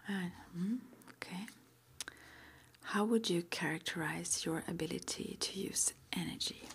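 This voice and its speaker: A woman whispering in an ASMR roleplay as an interviewer, breathy and halting, with gentle questions. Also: lowish voice, a little hiss or hum. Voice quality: breathy, mostly unvoiced whisper. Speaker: ASMR roleplay performer as witch school interviewer.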